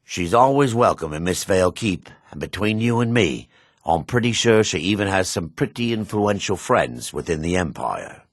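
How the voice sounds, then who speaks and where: Low, raspy dark elf schemer. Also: male voice, dry recording, clean studio sound, exaggerated voice. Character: dark elf man, shifty, greedy meadery boss and merchant. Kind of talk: fantasy video game voice acting.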